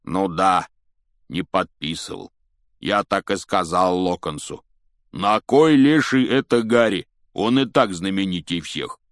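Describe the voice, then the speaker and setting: A man acting out a huge, gruff groundskeeper giant from a storybook. He is good-natured and blunt, with folksy, teasing talk in a low-pitched, close and dry voice. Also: unhurried, no echo. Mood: teasing, jovial.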